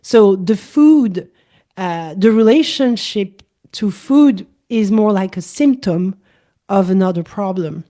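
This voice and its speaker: A woman's voice: medium pitch, lively intonation, takes it slowly, warm tone. French-accented woman coach, thoughtful. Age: middle-aged. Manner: thoughtful, explanatory, repeats key phrases. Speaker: guest holistic coach. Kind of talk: casual interview chat.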